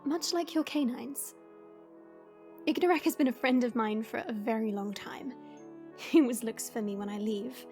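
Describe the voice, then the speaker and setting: A woman plays a noble dragon lady with a high voice. She is composed and knowing, explaining formally or gently scolding, in a fantasy audio drama with faint music behind. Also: moderate pace, natural voice.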